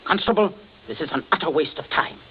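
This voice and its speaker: An actor playing a flustered, scheming older gentleman with genteel, blustering speech, in 1940s radio acting. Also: fairly high pitch, fairly quick, breathy edge. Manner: genteel, blustering, nervous laughs. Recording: dull old radio recording.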